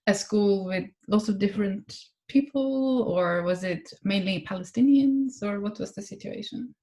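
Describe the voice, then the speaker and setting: A woman professor hosting a public conversation, asking questions gently and hesitantly with many fillers. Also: mid-range voice, unhurried. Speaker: professor and interviewer.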